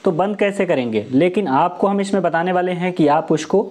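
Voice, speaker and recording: Young man, soft dark timbre, explanatory tutorial talk, repeats and rephrases, lapel mic indoors. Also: sits high, a little fast. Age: young adult. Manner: explanatory, repetitive, rephrasing points. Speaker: tech tutorial presenter. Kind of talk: step-by-step tutorial talk to camera. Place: small room indoors.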